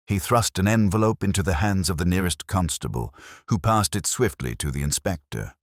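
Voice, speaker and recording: A man reading a scripted mystery story in a measured, wry, literary way. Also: deep voice, dry recording, clean studio sound. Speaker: narrator.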